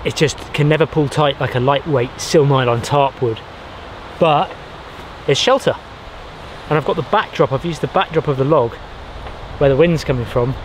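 Brief: man in his 30s, mid-pitch, relaxed hands-on explainer outdoors, faint hiss behind. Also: poor audio. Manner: explains while working with his hands, restarts often. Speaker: bushcraft vlogger. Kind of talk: casual outdoor how-to narration. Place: outdoors in a pine forest.

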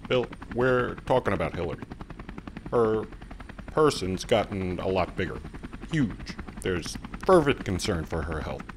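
A man voicing a composed, serious middle-aged president in an animated comedy, with a measured deadpan manner. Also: low-pitched, a little hiss or hum, poor audio. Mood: grave, measured. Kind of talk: animated comedy parody acting.

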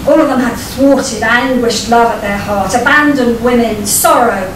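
A middle-aged woman, reading a short story aloud in a wry, theatrical storytelling style with deliberate phrasing. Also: a little high, distant mic. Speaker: writer reading their own short story. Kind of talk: live expressive storytelling reading. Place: small vaulted performance venue, on stage. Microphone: distant camera microphone. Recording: echoey stage recording from afar, noisy room. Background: live audience ambience.

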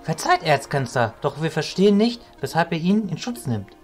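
Man acting an animated, accusing illusionist. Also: on the high side, normal speed, faint music behind. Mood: indignant. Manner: pointed, challenging. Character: accusing, suspicious member of an illusionist crowd. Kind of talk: German fantasy audio drama acting.

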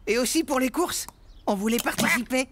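A woman's voice: high-pitched, a little fast, noisy background. A woman playing an eager, naive biker kid in a cartoon. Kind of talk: cartoon voice acting. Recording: music behind.

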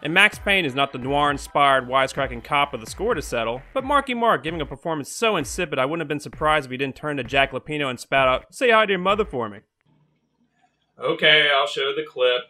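A man's voice: fairly high pitch, animated, a little fast, bright, forceful tone. A man in his twenties narrating a scripted video essay as host, wry, sarcastic and profane, recorded in a small room at home. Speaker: host of a video game movie review show.

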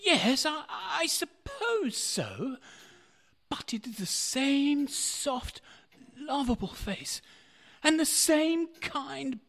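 A woman playing an amiable, sentimental old gentleman in a radio play, husky and halting. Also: sits high, big pitch swings, full character voice. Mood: wistful, tender. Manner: halting, hesitant, wistful.

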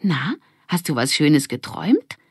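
A woman's voice: deep voice, very animated, a little slow, husky, dry recording, clean studio sound, heavily acted. A woman voicing a bossy, imaginative young girl who leads a gang, in an emphatic, conspiratorial children's audiobook style. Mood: musing.

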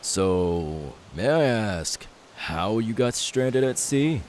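A man playing an easygoing, kindly young pirate in an ASMR roleplay, soft-spoken and unhurried. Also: lowish voice, expressive. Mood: curious, reassuring. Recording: faint background hiss.

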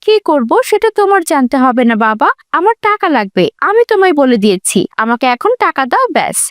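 Woman playing a very shrill, haughty rich girl. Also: very animated, fairly quick, no room sound, clean studio sound. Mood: haughty demanding. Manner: haughty, scolding, insistent. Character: arrogant, spoiled young rich sister, scornful and demanding. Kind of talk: melodramatic cartoon story dubbing.

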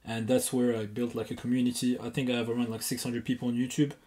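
Moroccan man, French native, warm and mellow. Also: mid-range voice, level tone, quick pace. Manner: confident, explanatory. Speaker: guest caller. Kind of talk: casual phone interview.

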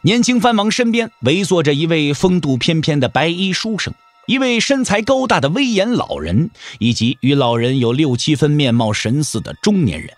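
A man's voice: fairly high pitch, big pitch swings, normal speed, dry recording, soft background music. A man narrating a martial arts audio drama in a dramatic, emphatic storytelling style.

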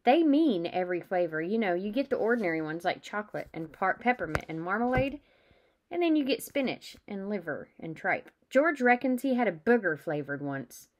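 A woman's voice: medium pitch, sing-song, moderate pace, lightly acted. A woman voicing an eager, chatty young boy wizard in a read-aloud storybook. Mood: cautioning.

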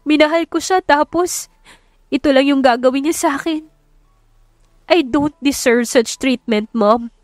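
A woman's voice: high voice, unhurried, pauses often, no echo, pitched above the performer's own voice. A woman narrator plays a young heroine of a romance audio drama. She is proud and guarded, speaking bluntly with raw emotion underneath. The recording is close and dry. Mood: tearful, hurt.

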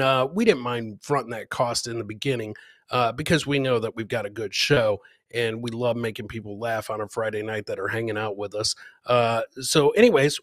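A man's voice: medium pitch, a bit bright, dry recording, clean studio sound. A middle-aged man hosting a live comedy show, casually commenting on clips and chatting with viewers, joking and repeating his phrases. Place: indoor home studio, webcam livestream.

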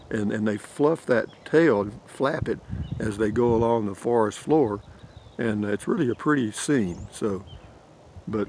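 A man's voice: on the low side, a little hiss or hum. An older man with a drawl, rambling folksy vlog chat outdoors into a handheld phone. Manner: rambling, folksy, chuckles often. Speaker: camping vlogger. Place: outdoors in a pine forest. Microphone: phone held at arm's length.

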